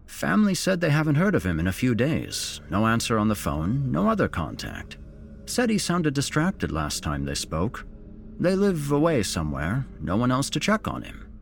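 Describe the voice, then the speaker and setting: A man's voice: medium pitch, huge pitch swings, normal speed, creaky, soft background music, pitched above the performer's own voice. A man voicing a jovial, teasing police officer on a night call, in audiobook dialogue with a blasé, joking manner. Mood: matter-of-fact.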